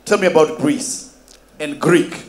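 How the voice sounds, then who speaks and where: A man's voice: on the high side, unhurried, crowd noise. A man from Kenya hosting a comedy talk show, joking and teasing his guest, mixing in Swahili, on a stage with a handheld mic. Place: studio stage with a live audience.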